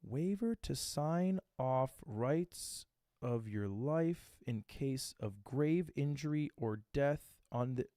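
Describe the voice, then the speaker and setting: A man in his twenties, a streamer reacting to a video, telling a story with excitable, profane energy and acting out what others said. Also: medium pitch, slow pace, no room sound, studio quality. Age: 20s. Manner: excitable, profane, acts out quotes.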